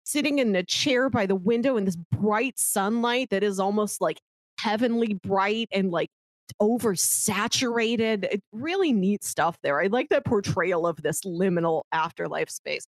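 A woman's voice: mid-range voice, normal speed, no room sound. A woman co-hosting a podcast, talking casually and chattily about films, often laughing as she talks. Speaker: podcast co-host. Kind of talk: casual film discussion.

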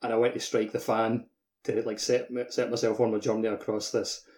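A man's voice: fairly low pitch, flat delivery, brisk, darkish tone. A man co-hosting a casual gaming podcast, talking enthusiastically in choppy phrases full of filler words. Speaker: podcast co-host.

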